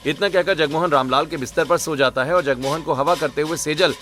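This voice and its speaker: A man's voice: on the high side, fairly quick, close to an everyday voice. A man narrating a scripted cartoon story.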